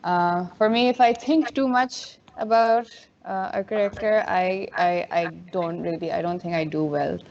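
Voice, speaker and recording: A woman's voice: on the low side, unhurried, gravelly, darkish tone, highs cut off, a little hiss or hum. A woman, an actress answering candidly and easily, slipping in Urdu words. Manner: candid, easygoing, mixes in Urdu. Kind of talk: festival Q&A answer. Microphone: video call.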